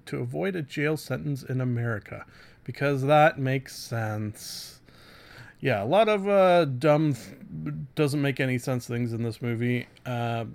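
A man's voice: mid-range voice, creaky. A man hosting a casual solo podcast, rambling through reviews with self-deprecating asides. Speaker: podcast host.

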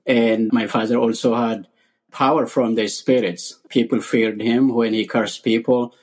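A middle-aged man from Ethiopia, a podcast guest earnestly telling his life story in short, repeated phrases. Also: on the low side.

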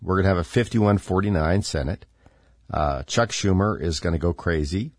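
A man's voice: deep voice, moderate pace, no echo, studio quality. A middle-aged man hosting his own podcast, a bit congested and stuffy, rambling sardonically with lots of fillers. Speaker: podcast host. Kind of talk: casual political commentary monologue.

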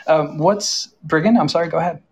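A man's voice: on the high side, brisk, husky. A man moderating a casual panel discussion, talking in a self-deprecating way and repeating himself for emphasis. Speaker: panel moderator, audio drama creator.

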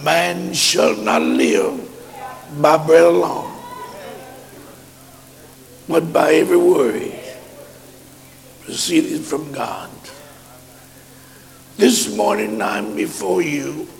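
A hoarse man a sermon in a chanting style that builds into shouts. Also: fairly high pitch, animated, drawn-out words, long pauses, big-room sound. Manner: chanted preaching rising to shouts. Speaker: preacher.

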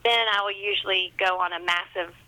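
A woman's voice: mid-range voice, fairly even intonation, brisk, sharp tone, poor audio. A woman speaking casually as a guest author in an interview, chatty and self-deprecating.

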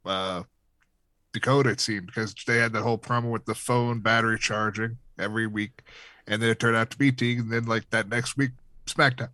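A man talks easily as a podcast co-host, measured and thoughtful. His voice sits somewhat low. The sound is close and dry. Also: steady tone, bright, forceful tone, no echo. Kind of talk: casual wrestling chat.

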